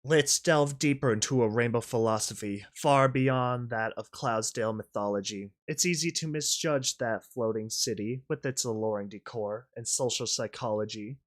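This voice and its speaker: Expressive story narrator and reaction host. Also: mid-range voice, moderate pace, hardly any pauses. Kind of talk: dramatic story reading with casual commentary.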